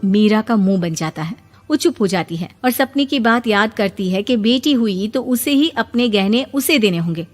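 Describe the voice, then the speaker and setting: A woman narrating a scripted moral story in a brisk storytelling manner. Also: mid-range voice, animated, long reverb tail, faint music behind.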